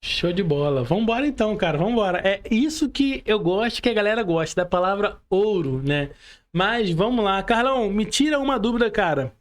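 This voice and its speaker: A man's voice: sits high, animated, normal speed. A man with a Brazilian Portuguese accent hosting a casual podcast interview, addressing people in chummy, informal slang.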